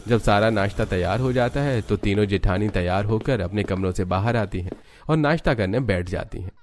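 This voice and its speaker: A man's voice: lowish voice, brisk, darkish tone, slight background noise. A man narrating a scripted story as the storyteller.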